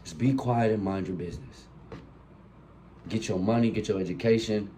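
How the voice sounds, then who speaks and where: A man's voice: on the low side, moderate pace, dark tone, a little hiss or hum. Sniffling young man, passionate preaching. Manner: passionate, profane, repetitive preaching. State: sick and sniffling. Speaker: podcast host. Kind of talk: solo podcast monologue. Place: room with colored lights. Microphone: phone.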